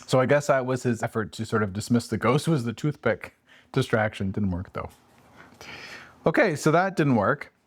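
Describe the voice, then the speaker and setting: A man's voice: medium pitch, lively intonation, moderate pace, husky. A man co-hosting a casual book chat, reading aloud and commenting with playful, joking asides. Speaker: podcast co-host reading a classic aloud.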